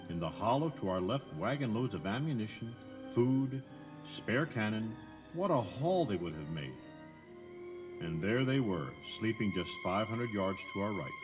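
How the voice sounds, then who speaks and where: An American man narrating a history tour in a conversational, emphatic way, putting rhetorical questions straight to the listener. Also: lowish voice. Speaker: audio tour guide narrator. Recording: 1983 cassette tour tape.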